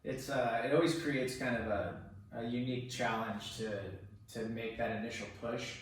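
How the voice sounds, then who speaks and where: A man's voice: mid-range voice, fairly even intonation, normal speed. A man interviewing guests in a loose, chatty way, with plenty of filler words. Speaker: real estate agent, interviewer. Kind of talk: casual interview. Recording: echoey room from far off.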